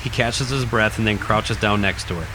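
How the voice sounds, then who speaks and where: A man reading scripted horror narration in a dramatic, deliberate storytelling manner. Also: lowish voice, background noise. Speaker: narrator, podcast host.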